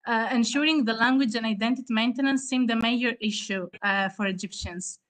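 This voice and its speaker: A woman whose first language is Greek gives an earnest presentation, pausing often. Her voice is bright and a little high. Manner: earnest, with frequent hesitations. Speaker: award-winning student presenter. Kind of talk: formal online conference presentation.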